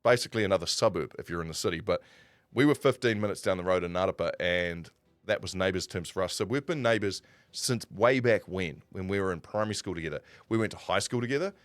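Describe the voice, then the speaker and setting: Low-voiced New Zealand man telling stories. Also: quick pace, no room sound. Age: 40s. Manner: rambling, conversational, self-correcting. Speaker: streamer and broadcaster. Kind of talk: casual live-stream storytelling.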